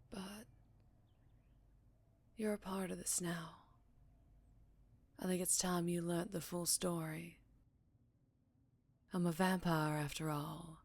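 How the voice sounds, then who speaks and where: Casting: actress as melancholy, tender vampire woman for ASMR roleplay; low register, Southern US accent, hushed and unhurried. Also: level tone, vocal fry, exaggerated voice. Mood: somber, confiding. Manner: slow, hushed, affectionate, with pauses and sighs. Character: gentle, melancholy English-born female vampire, tender and wary. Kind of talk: intimate ASMR roleplay, soft and partly whispered.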